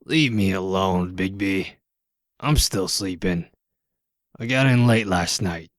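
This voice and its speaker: A man's voice: deep voice, takes it slowly, no echo, clean studio sound. A man playing a sly, self-important talking pig in a comic dub, whiny and wheedling, with indignant, wordy complaints. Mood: sleepy, grumpy. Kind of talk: comic dub character acting.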